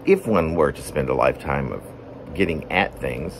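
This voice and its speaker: A low-voiced man reads his own poem as a wordy, deliberate monologue. His pitch moves around widely from phrase to phrase, with pauses in mid-sentence. A faint steady room hum sits behind him. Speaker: poet reading own work. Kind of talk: poetry reading, rambling semi-narrative monologue.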